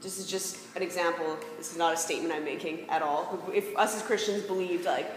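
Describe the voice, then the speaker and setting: A woman's voice: on the low side, brisk. A woman giving an informal, reflective talk, often posing rhetorical questions. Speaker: speaker presenting ideas on faith and peacemaking. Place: large reverberant room. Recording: very echoey hall, faint background noise.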